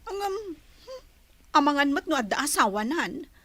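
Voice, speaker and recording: A woman's voice: high-pitched, big pitch swings, normal speed, sharp tone, no room sound, exaggerated voice. An actress playing a talkative, teasing young woman who works in an office, in an Ilocano radio drama. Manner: teasing, chatty.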